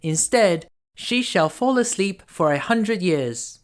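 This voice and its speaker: A man voicing a gentle, reassuring fairy godmother in a storybook, earnest and soothing. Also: high voice, animated, slow, voice pushed up.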